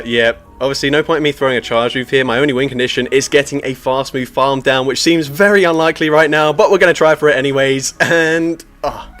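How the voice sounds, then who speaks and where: Guy doing hyped shoutcaster commentary over gameplay, laughs a lot, faint music behind. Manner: energetic shoutcaster delivery, laughs often, lively pitch jumps. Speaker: streamer and game commentator. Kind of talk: live-stream gameplay commentary.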